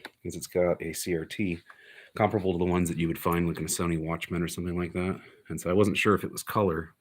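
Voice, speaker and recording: A man with an extremely low-pitched voice presents a piece of hobby electronics in a casual, unscripted way. He explains technical details plainly and matter-of-factly, as in an informal demonstration. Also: steady tone, fast talker, slight background noise.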